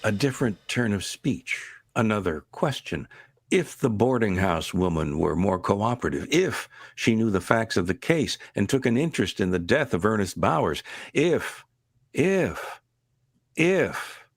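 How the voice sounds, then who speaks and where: A man playing the solemn storyteller of a vintage-style radio mystery, narrating in a measured, portentous way. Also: fairly low pitch, sing-song, dry recording, clean studio sound, full character voice.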